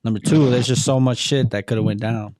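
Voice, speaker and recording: A man's voice: medium pitch, fairly even intonation, a little fast, darkish tone, no echo. A man chatting casually, with heavy slang and swearing like a vlogger talking things over. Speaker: vlogger interviewing a witness.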